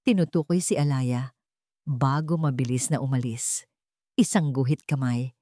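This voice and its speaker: A woman with a low voice narrates a scripted drama. The recording is dry and close, with very little room on it, as she tells the story as narrator. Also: a little slow, dark tone, no room sound.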